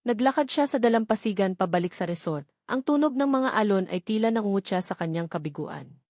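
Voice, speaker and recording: A woman's voice: mid-range voice, lively intonation, a little fast, a little mellow, no room sound, studio quality. A woman reading a scripted romance story as a dramatic audiobook narrator.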